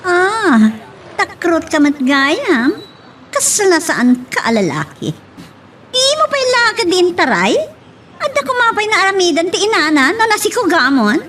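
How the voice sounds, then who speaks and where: A woman's voice: very high-pitched, sing-song, unhurried, a bit bright, full character voice. A woman playing a spoiled, scheming young rich woman who taunts and orders in an Ilocano audio drama, with an Ilocano accent. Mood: mocking, teasing. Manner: taunting, imperious. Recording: clear background hiss and noise.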